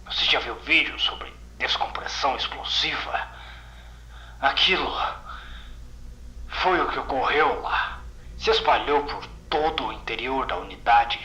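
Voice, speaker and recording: A man's voice: medium pitch, unhurried, pushed and bright, echoey room, poor audio. A Brazilian man playing a shaken, bitter containment agent recounting a horrifying mission, intense and haunted, in an audio horror story.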